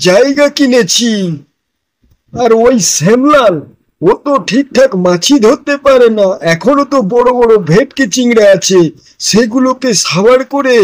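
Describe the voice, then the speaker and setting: Sly pond watchman, male actor, cartoon villain: greedy, scheming, very high-pitched. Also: no echo. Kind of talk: cartoon dialogue.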